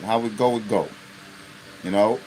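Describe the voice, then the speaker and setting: A man's voice: lowish voice, fairly even intonation, normal speed, a little mellow, a little hiss or hum, low-quality recording. A man in his fifties hosting an unscripted live stream, talking bluntly and profanely and often checking in with his listeners. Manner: blunt and profane, with constant rhetorical check-ins.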